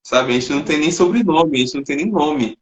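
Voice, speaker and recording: A man's voice: a little high, animated, brisk. A Brazilian man hosting an interview, talking in a reflective, conversational way. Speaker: host. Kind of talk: interview podcast.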